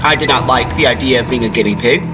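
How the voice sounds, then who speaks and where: A man's voice: medium pitch, quick pace, muffled recording. A man narrating a first-person horror story as a dramatic reading. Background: background music.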